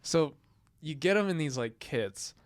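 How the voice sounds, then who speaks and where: A man co-hosts a casual podcast, joking playfully and talking in stop-start bursts. His voice is smooth and clear. The sound is dry, with little room. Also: fairly high pitch, expressive, no room sound. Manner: playful, joking, halting with fillers. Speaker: podcast co-host. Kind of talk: casual chat.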